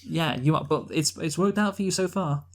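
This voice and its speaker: A man's voice: a little high, normal speed. Opinionated man chatting about films. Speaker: podcast co-host reviewing films. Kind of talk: casual unscripted film discussion.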